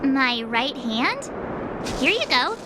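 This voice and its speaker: Very high-voiced cheeky girl. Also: slow pace, noisy background, heavily acted. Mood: startled. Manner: expressive, complaining. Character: cheeky, whiny, easily startled young girl adventurer. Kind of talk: scripted game cutscene dialogue.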